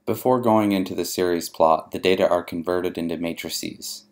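A man reading scripted narration for a software tutorial in a measured, methodical way. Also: sits low, fairly even intonation. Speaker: software demonstrator. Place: voice-over for a screen recording.

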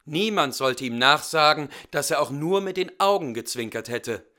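A man reading a fairy tale aloud as a storyteller, with deliberate, theatrical and expressive delivery. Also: a little high.

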